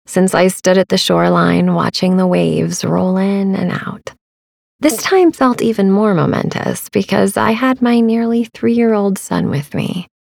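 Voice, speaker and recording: A woman hosting a sleep meditation podcast, narrating softly in a hushed, breathy, soothing way. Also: fairly low pitch, dry recording, studio quality. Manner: hushed, breathy, near-whispering delivery.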